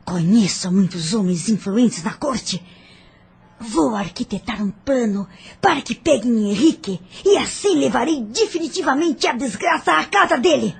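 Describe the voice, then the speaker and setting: A woman's voice: a little high, creaky, husky, heavily acted. A woman playing a vengeful, scheming woman in an audio drama, speaking in a bitter, menacing way. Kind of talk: radio drama acting.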